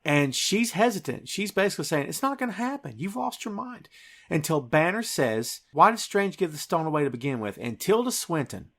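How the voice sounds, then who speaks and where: A man's voice: on the high side, fairly bright tone. A man hosting a podcast, retelling a film scene by scene in an enthusiastic, emphatic conversational way. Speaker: podcast host.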